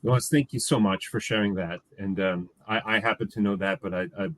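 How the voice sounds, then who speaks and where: A man's voice: fairly low pitch, a bit bright, no room sound. Guy moderating a panel, lots of uh and restarts, dry close sound. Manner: halting, many restarts and fillers. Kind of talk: panel discussion.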